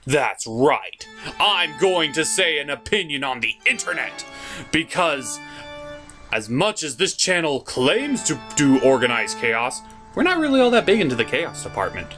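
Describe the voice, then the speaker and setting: A man hosting a review show, delivering scripted comedic narration in a theatrical way with dramatic pauses. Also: fairly high pitch, fairly bright tone. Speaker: host of a cartoon and anime review show.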